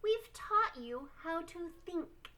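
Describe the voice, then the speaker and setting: A woman's voice: extremely high pitch, expressive, slow, bright, forceful tone, recorded from a distance, voice pushed up, heavily acted. A woman voicing a spirited, encouraging female schoolteacher from a children's storybook, emphatic and reassuring.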